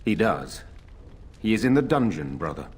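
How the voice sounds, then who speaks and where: An actor playing an earnest, loyal nobleman addressing his lordly brother in a fantasy game, husky and formal. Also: low-pitched, sing-song, normal speed, heavily acted. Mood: concerned, deferential.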